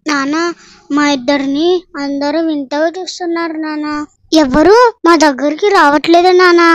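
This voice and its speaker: A woman's voice: very high voice, no room sound. A woman playing a poor, eager and innocent village child with a plaintive manner in a dramatised moral story. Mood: hurt.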